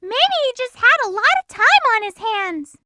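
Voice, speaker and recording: A woman's voice: very high voice, sing-song, normal speed, pushed and bright, slight background noise, exaggerated voice. A woman voicing a polite, timid little rabbit girl in a video game, hesitant and childlike. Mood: worried. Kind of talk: video game character voice lines.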